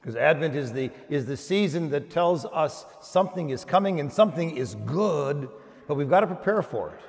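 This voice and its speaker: A man's voice: fairly high pitch, lively intonation. Older male preacher in a reverberant hall. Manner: emphatic repetition with deliberate pauses. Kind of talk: homily to a congregation. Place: large church indoors, at the pulpit.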